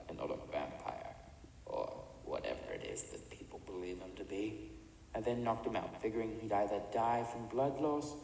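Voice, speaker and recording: An actor in an audio drama playing a remorseless older criminal chemist, speaking in a measured, haughty, matter-of-fact way. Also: male voice, on the low side, vocal fry, darkish tone. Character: cold, remorseless older male villain, an imprisoned mad chemist. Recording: echoey reverberant room, distant, faint noise.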